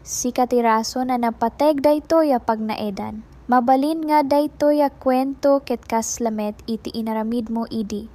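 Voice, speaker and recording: Woman, radio announcer, fairly high pitch, scripted drama introduction. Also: normal speed, warm tone.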